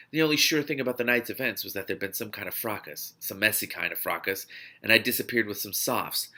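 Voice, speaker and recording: A man reading fiction aloud as host of a read-along show, with expressive, dramatic delivery. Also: fairly low pitch. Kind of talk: expressive audiobook-style reading with spontaneous reactions.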